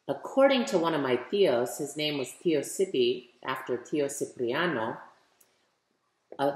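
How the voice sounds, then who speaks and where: A woman of fifty reading a picture book aloud expressively, speaking directly to young listeners with questions and emphasis. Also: low voice. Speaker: children's author reading aloud. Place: living room at home, indoors. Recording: roomy indoor webcam echo.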